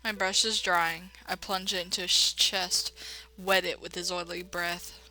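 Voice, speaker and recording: A woman's voice: fairly low pitch, little pitch movement, a little slow, sharp tone, rough recording. A woman reading a game dramatically and adding casual, slangy, irreverent commentary as a let's play host.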